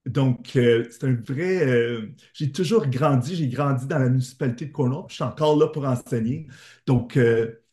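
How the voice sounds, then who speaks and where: Un homme dans la quarantaine, originaire de l'Est ontarien, à l'ontarien, invité qui raconte son parcours avec sincérité et enthousiasme, par appel vidéo. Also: male voice, mid-range voice, moderate pace, mellow, reverberant. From Eastern Ontario, Canada. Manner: earnest, enthusiastic storytelling. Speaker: teacher, guest. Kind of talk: interview answers. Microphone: video call.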